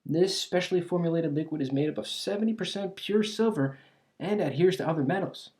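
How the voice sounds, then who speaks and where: A voice actor playing a clumsy, cheerfully eccentric alchemist shopkeeper in an ASMR roleplay, chatty and absent-minded, halting and trailing off. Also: mid-range voice, darkish tone, close to an everyday voice. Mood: eager salesmanship. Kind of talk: ASMR roleplay, scripted character acting.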